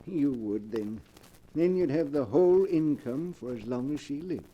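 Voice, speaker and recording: An actor playing a proud, shady professor who fakes ghosts for hire, in theatrical, measured 1940s radio mystery style. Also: male voice, medium pitch, lively intonation, dark tone, muffled recording, close to an everyday voice. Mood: knowing, detached.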